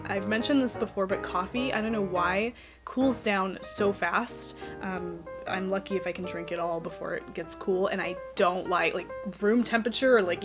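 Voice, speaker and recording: A woman in her thirties hosting a knitting podcast, chatting casually to the camera at home, a little nasal from a cold, with lots of ums and light laughs. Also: mid-range voice. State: has a cold, slightly nasal. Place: cozy home office with string lights.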